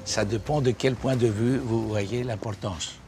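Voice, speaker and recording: A man, answering interview questions in a relaxed, expansive way, with small slips. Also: medium pitch, measured pace, soft background music. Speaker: interviewee, businessman.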